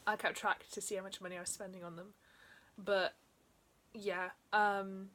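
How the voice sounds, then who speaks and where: A young woman in her twenties, slightly croaky after being ill, chatting casually about books from a small bedroom, with frequent ums and soft trailing endings. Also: medium pitch, little pitch movement, moderate pace. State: slightly croaky from a chest infection. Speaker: book vlogger. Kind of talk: casual book haul chat.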